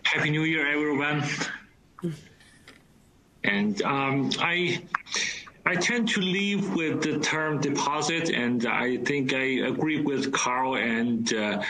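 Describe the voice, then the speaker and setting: Slow, bright-voiced non-native man. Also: medium pitch, slight background noise, low-quality recording. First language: not English. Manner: measured, hesitant, many "uh"s. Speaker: board member in a meeting. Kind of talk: formal remote meeting discussion.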